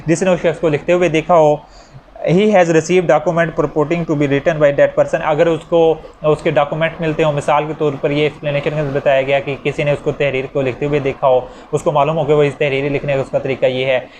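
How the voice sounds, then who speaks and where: Male law lecturer, Pakistani accent, slightly high pitch, explanatory with English legal terms, faintly noisy recording. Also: flat delivery, a little mellow. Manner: switches into English legal terms, reading statutes slowly. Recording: clear background noise.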